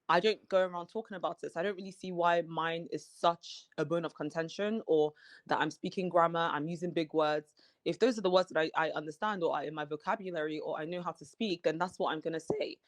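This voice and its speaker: A woman's voice: deep voice, no room sound. A woman from Nigeria with an accent that mixes Nigerian and British, speaking as a guest in a casual interview. She talks in an articulate, earnest way and uses "you know" often. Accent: Nigerian and British mix.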